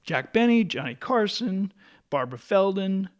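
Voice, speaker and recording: A man's voice: high voice, sing-song, normal speed. A middle-aged or older American man co-hosting a casual, nostalgic talk show with a bantering, conversational manner. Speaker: podcast co-host.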